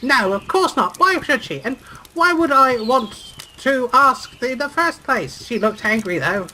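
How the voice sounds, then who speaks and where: A woman's voice: sits high, expressive, a little slow, bright, forceful tone, a little hiss or hum, full character voice, rough recording. A woman voicing a spoiled, haughty young stepsister in a visual novel, snide, bossy and sarcastic. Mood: dismissive, snide. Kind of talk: acted reading of visual novel dialogue.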